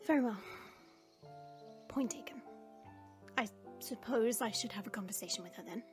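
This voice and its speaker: A woman's voice: a little high, normal speed, faint music behind, lightly acted. A woman playing a proud, protective dragon princess in an audio drama, with formal, stately phrasing. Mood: solemn, reassuring.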